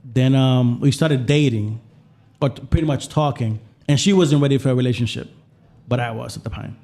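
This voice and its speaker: A man's voice: medium pitch, normal speed, dark tone. A man from Puerto Rico talking casually as a podcast guest, telling personal stories in an informal way and often repeating his phrases. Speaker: guest chef.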